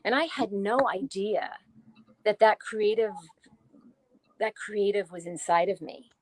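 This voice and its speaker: A woman in her forties from New Jersey, a guest author telling her life story in a casual interview, chatty and animated, laughing often. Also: medium pitch, measured pace, no echo, poor audio. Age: 40s.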